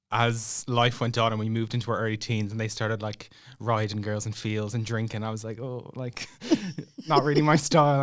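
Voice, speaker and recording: A man's voice: medium pitch, clear, resonant tone. A young Irish man telling a story as a podcast guest in a casual, chatty, self-deprecating way. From Ireland.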